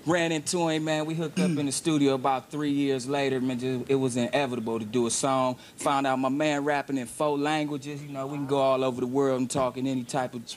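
Laid-back man in flat, even tones. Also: mid-range voice, fairly quick, hardly any pauses, slight background noise. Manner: laid-back, casual slang. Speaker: guest rapper. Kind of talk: TV talk show interview. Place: TV studio.

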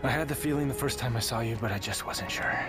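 An actor playing a cocky, brash demon hunter in a video game, with a gruff wisecracking delivery. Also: male voice, medium pitch, fast talker, music in the background, close to an everyday voice. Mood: grave. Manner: wisecracking, slangy, tough-guy delivery. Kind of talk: video game cutscene acting.

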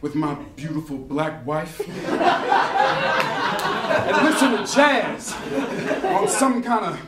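A man's voice: on the high side, wildly swooping pitch, takes it slowly, far from the mic. A man giving a theatrical, emphatic comedic reading to a live audience. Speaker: guest reader at a live event. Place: crowded dim indoor bar venue. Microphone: stand microphone at a lectern. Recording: echoey, off-mic, loud laughing crowd. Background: loud audience laughter.

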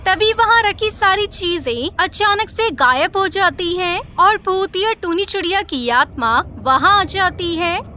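A woman's voice: extremely high pitch, animated, takes it slowly, pushed and bright, slight background noise. A woman narrating a scripted children's cartoon story.

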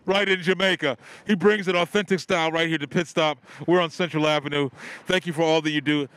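A man's voice: on the high side, steady tone, a little fast, fairly bright tone, no room sound. A middle-aged man interviewing a shop owner on a sidewalk for community TV, talking into a handheld mic with playful promo patter. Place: outdoor sidewalk by shops.

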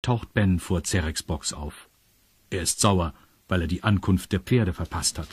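Deep, husky male storyteller. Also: a little slow, no echo, studio quality. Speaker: narrator. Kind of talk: scripted storytelling narration for children's audio drama.

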